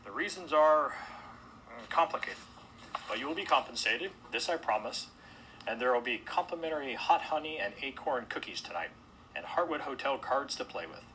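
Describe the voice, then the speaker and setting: Man playing a flustered, forceful animal hotelier. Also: medium pitch, lively intonation, normal speed, slight background noise, voice pushed up, rough recording. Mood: uneasy. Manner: formal, anxious. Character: flustered, formal male hotelier animal calming guests.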